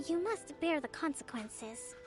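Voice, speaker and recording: A woman voicing a composed, formal young priestess and leader in a video game, speaking in a measured way. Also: high voice, expressive, music in the background. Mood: firm.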